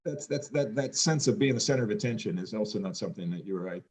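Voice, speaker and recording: A middle-aged man with a very deep, low voice talks through his thoughts in a relaxed family conversation. He is loud and reflective, and he often stops to restart a sentence or fill a gap with "um.". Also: fast talker. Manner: hesitant, many ums and restarts, reflective; says he has a loud voice. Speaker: podcast co-host, parent.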